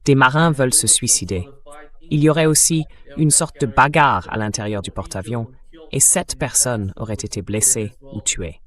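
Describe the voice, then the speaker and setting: Medium-pitched man as interview host. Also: moderate pace, fairly bright tone. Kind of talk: translated interview voice-over, news-based questions.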